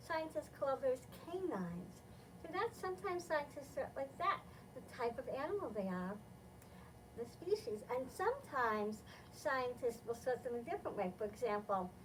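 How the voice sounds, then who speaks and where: A woman's voice: on the high side, far from the mic, slight background noise, poor audio. A woman hosting a library storytime, reading aloud and explaining things simply for young children. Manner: simple, instructive phrasing aimed at small children.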